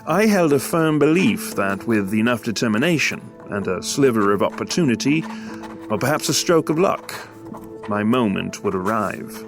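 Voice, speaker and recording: An actor playing a melancholy failed writer in his thirties, reciting his diary in formal, florid audio drama style. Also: on the low side. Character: melancholy, self-deprecating failed male writer of thirty-five. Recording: music under the voice. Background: music.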